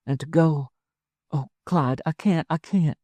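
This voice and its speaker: A male narrator playing a tender young heroine of a frontier storybook. The voice is lifted higher, soft and hesitant, pleading anxiously with a lover in a dry recording. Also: measured pace, no echo. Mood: anxious, torn. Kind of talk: audiobook character dialogue.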